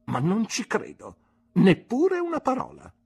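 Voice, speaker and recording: A man doing a fierce husky old aunt. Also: high voice, huge pitch swings, a little slow, a little mellow, voice pushed up, exaggerated voice. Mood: commanding. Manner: scornful and commanding. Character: fierce, sharp-tongued older aunt, a woman. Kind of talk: audiobook character reading.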